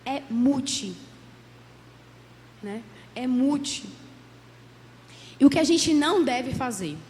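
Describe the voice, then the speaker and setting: Hoarse female psychologist, fairly high voice, earnest lecture in a reverberant hall with faint noise. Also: lively intonation, slow pace, a little mellow. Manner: earnest, many "né?" tags. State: hoarse from a cold. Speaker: psychologist giving a church talk. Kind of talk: church lecture. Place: church hall.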